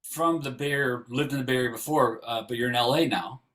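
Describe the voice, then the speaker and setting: A man's voice: medium pitch, fast, a bit bright. A man who programs a film festival, hosting a casual Q&A with filmmakers over a video call.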